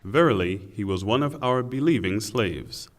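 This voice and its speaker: A man's voice: lowish voice, animated. Solemn male scripture reader in reverberant space. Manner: measured, deliberate phrasing. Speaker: translation reader. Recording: long hall reverb.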